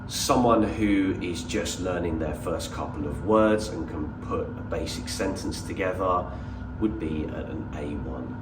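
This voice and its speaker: A man's voice: sits low, measured pace. A man from England with an English accent gives an explanatory presentation about a school programme as its representative. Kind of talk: prepared presentation to an audience. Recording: echoey room, distant mic, noticeable noise.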